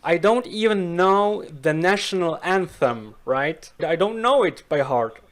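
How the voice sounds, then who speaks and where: A Swiss man whose first language is Swiss German, speaking careful, slow learner's English as an interview guest. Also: high-pitched, animated, a bit bright. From Switzerland. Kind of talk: casual interview chat.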